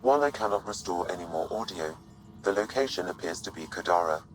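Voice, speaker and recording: Game AI companion: very deep, composed, exact, formal. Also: male voice, animated, soft background music. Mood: calm, factual. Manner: measured, formal, precise. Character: calm, formal male ship artificial intelligence assistant. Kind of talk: scripted game dialogue.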